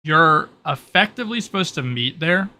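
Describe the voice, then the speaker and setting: Bright-voiced man as game master. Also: on the high side, animated, normal speed. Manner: informal, explanatory. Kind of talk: casual tabletop roleplay rules explanation. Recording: noticeable background noise.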